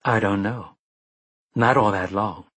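A woman's voice: a bass voice, slow, a bit bright, slightly rough, no room sound, full character voice. A man reading the lines of a grim, guarded, determined woman in an audiobook.

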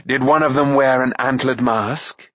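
A stern, commanding warrior prince, as a male narrator puts him on, firm and short on patience. The voice is mid-pitched and slightly husky. It is recorded close with no room sound. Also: steady tone, unhurried, a bit bright, dry recording. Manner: firm, severe, impatient.

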